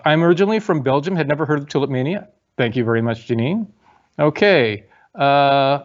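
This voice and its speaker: A man's voice: fairly high pitch, vocal fry, muffled recording. A man giving an online lecture and answering viewer questions, chatty, reading them aloud with frequent ums.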